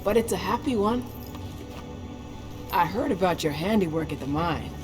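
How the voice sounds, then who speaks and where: A woman's voice: on the low side, expressive, moderate pace, music in the background, close to an everyday voice, poor audio. A woman playing a wry, brash activist leader and old friend in a video game cutscene, breezy and blunt. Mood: wry, friendly.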